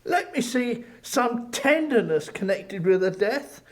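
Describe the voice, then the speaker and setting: A man's voice: extremely high pitch, sing-song, heavily acted. A man playing a frightened old miser pleading with a spirit in a dramatic audiobook reading. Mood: anguished pleading. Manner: theatrical, slow, pleading.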